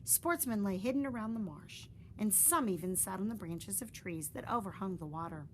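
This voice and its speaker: Animated woman reading a children's story. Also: medium pitch, a little hiss or hum. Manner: expressive storybook reading with slow, drawn-out phrases. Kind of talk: children's story read aloud.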